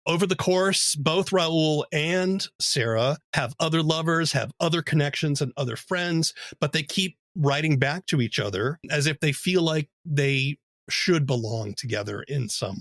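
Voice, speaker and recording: Man, podcast host, slightly high voice, measured explaining, dry close mic. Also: animated, a bit bright, no room sound. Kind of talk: interview, summarizing a story.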